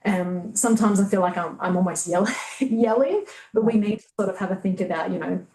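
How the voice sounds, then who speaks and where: A woman's voice: medium pitch, fairly quick, warm tone, reverberant, low-quality recording. A woman, a guest on a webinar, talking through presentation tips in a thoughtful, searching way over a video call. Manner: thoughtful, many fillers and restarts. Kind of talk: casual webinar conversation.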